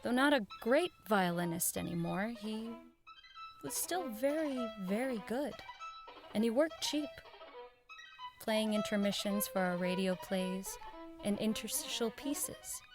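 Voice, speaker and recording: A woman's voice: medium pitch, animated, a little slow, music in the background, rough recording. An actress playing an idealistic, determined woman who runs a 1940s radio network, speaking in an earnest, dignified, persuasive way in an audio drama. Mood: reflective narration. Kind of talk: audio drama acting, dialogue and wistful first-person narration.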